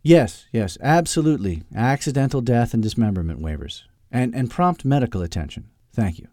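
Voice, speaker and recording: Man playing a smooth, unflappable calculating lawyer. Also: mid-range voice, very animated, mellow, no room sound, studio quality. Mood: brisk business. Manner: measured, coaxing, businesslike. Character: calculating, smooth-talking male lawyer, unflappable and self-satisfied.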